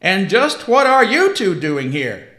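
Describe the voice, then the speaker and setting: A man voicing Santa Claus, a jolly old man who talks in a hearty, drawn-out way and chuckles. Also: high voice, very animated, pushed and bright, reverberant, pitched above the performer's own voice, full character voice. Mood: jovial.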